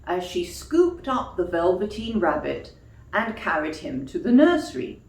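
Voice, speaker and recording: A woman storyteller reading expressively to young children like a teacher, pausing for questions and explanations. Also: lowish voice, very animated, darkish tone. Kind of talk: children's storytime read-aloud with explanatory asides. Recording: echoey room.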